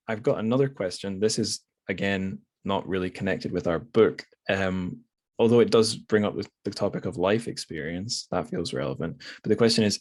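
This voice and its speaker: A British man hosting a casual interview podcast, talking in a rambling, tentative, self-deprecating way. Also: low voice. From United Kingdom.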